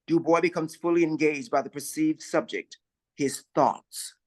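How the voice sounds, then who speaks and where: Man, stage directions reader, even measured narration, slightly high pitch. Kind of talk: reading stage directions aloud.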